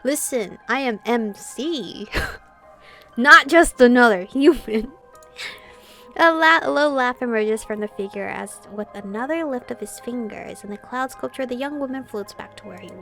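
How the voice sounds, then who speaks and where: Higher-pitched woman gaming, music behind. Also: unhurried, gravelly. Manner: expressive, reactive. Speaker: gaming streamer. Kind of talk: game playthrough, reading aloud and reacting. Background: game music.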